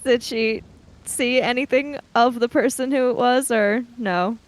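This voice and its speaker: High-voiced woman at a tabletop game. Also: fairly even intonation, a little slow. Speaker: tabletop role-play player. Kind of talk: casual table talk with in-character speech.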